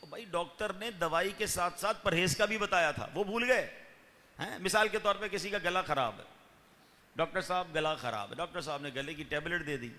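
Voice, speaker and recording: A man preaching a sermon, fervent, with raised peaks and slow drawn-out chanting. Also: sits high, sharp tone. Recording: long hall reverb.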